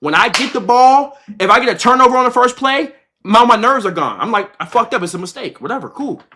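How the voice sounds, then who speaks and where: A man's voice: very high-pitched, brisk. A man in his twenties livestreaming, telling a story casually in slangy, swear-heavy speech, repeating himself and acting out what was said. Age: 20s. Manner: animated, repetitive retelling with acted-out quotes. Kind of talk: casual reaction-stream storytelling to chat.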